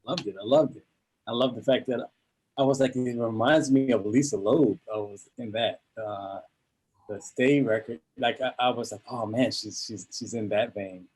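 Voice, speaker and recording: A man industry guest giving upbeat, halting feedback on a song. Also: mid-range voice, darkish tone, husky, rough recording. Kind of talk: casual feedback.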